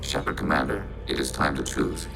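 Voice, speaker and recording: A man playing a calm, logical robot collective in a video game, with formal, clipped, emotionless phrasing and a robotic filter. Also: rumbling low, fairly quick, creaky. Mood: measured, logical. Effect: robotic machine filter. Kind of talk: video game character acting. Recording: game music behind.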